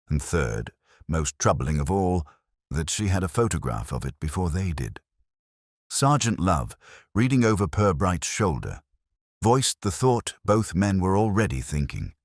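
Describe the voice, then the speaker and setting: A man with an extremely low voice narrates a scripted mystery. His pitch moves expressively through the sentences, and the recording is dry, with little room sound. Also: no room sound. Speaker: audiobook narrator.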